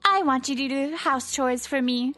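A woman's voice: high-pitched, normal speed, sharp tone. A woman voicing a bossy but caring teenage delinquent girl in a manga comic dub, casual, blunt and teasing. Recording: background music under the voice.

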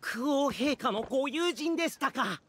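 A woman's voice: high voice, animated, normal speed, background noise. A woman playing a loyal, formal castle guard in an anime game, stiff and emphatic. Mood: apologetic, deferential. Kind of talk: Japanese anime game voice acting.

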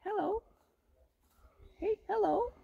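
A man's voice: extremely high pitch, slow pace, vocal fry, phone-quality sound. An older man chatting casually outdoors and talking to his pet, picked up by a camera a little way off. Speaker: bird owner, passing visitor. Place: outdoors at a forest campsite. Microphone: distant handheld camera.

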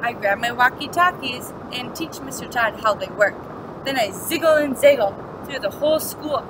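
A woman's voice: on the high side, lively intonation, moderate pace, noisy background. A young adult woman reads a picture book aloud like a teacher, explaining things simply for small children. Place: indoor classroom, seated.

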